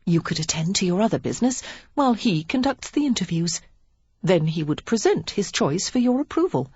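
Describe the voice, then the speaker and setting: A woman's voice: lowish voice, big pitch swings, fairly quick, darkish tone, slightly rough, no room sound, dull audio. A woman reading the part of a witty, confident young woman who teaches card tricks, in a teasing, playful way.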